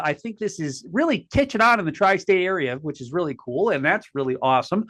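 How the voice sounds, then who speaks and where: Male podcast host from Connecticut, raised and bright, folksy, restarts his sentences often. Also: high-pitched. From Connecticut, USA. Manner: folksy, chatty, halting with repeated words. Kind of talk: casual interview talk.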